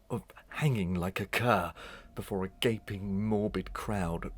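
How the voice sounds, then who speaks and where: A man playing a proud, bitter condemned murderer in a Victorian melodrama, brooding and theatrical in archaic diction. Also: low voice.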